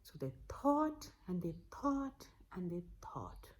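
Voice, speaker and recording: A woman's voice: fairly low pitch, very animated, slow pace, a little mellow. A woman telling a folktale aloud to children as host, in a dramatic way that repeats words for emphasis. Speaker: storyteller and host.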